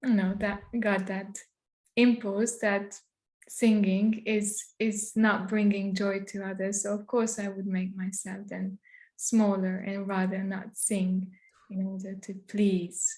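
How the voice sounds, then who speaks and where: A woman with a Hungarian accent, a native Hungarian speaker, speaking as an interview guest over a video call, soft, thoughtful and halting. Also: mid-range voice, flat delivery. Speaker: guest, trauma counselor. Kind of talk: reflective interview talk.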